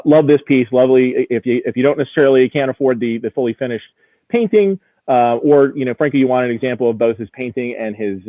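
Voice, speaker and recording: A man's voice: medium pitch, no room sound. Male auction presenter on a phone-like line. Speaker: auction house executive presenting lots. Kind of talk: informal live auction preview commentary.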